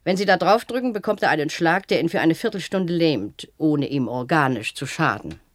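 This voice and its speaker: An actress playing a strict, cold matron in a German radio play, giving orders in a brisk, condescending, authoritative manner. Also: female voice, deep voice, expressive. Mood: brisk, instructing. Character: strict, cold institutional matron with a callous streak. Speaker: head matron instructing a new attendant.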